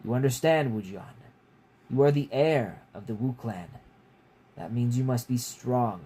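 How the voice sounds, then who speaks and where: A man reading a story aloud as a proud, stern father with a deep, rumbling, formal and commanding voice. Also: measured pace, mellow. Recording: clear background noise.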